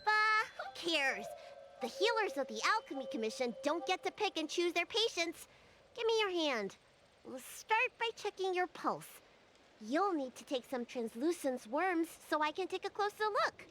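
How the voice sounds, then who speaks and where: A high-pitched, bouncy young girl healer from a video game. She is excitable and easily flustered, stammering and swinging widely in pitch. Her delivery is unhurried, with loud game music behind her. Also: heavily acted. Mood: chirpy, professional. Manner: expressive, stammering when flustered.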